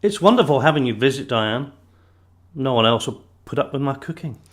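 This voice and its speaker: An actor playing a gentle, chatty elderly grandfather in an audio play, fond, reflective and a little halting. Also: mid-range voice, expressive, natural voice. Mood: fond, coaxing. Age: older.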